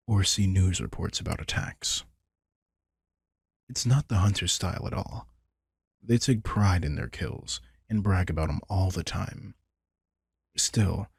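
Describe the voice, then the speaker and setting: A man acts out a powerful vampire king in an intimate roleplay drama. His voice is low and gravelly with plenty of fry, recorded very dry and close. He speaks softly and calmly to a single listener, protective and a little teasing. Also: no echo, heavily acted. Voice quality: husky, gravelly, breathy. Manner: soft-spoken, conversational, speaking to one listener. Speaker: audio roleplay voice actor.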